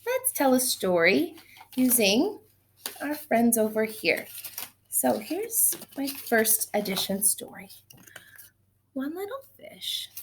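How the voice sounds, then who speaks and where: A woman teaching young children in an encouraging, sing-song way, asking them questions as she counts, chants and tells simple stories. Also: high-pitched.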